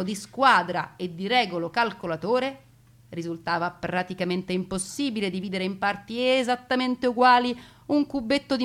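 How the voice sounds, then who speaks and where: A woman narrates a scripted story in a theatrical way. Her delivery is deliberate and emphatic, with a mock-solemn gravity suited to stage storytelling. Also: medium pitch.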